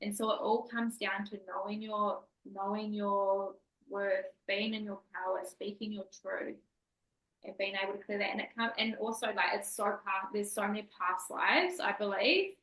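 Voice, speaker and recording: A woman's voice: medium pitch, normal speed, fairly bright tone. A woman podcast host and coach, talking earnestly and emphatically about spiritual growth. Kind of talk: casual interview chat. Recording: echoey room.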